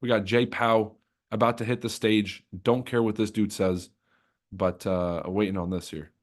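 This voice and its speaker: A man's voice: lowish voice, level tone, normal speed. A young man hosting a trading livestream, chatting loosely with his chat, joking and swearing freely.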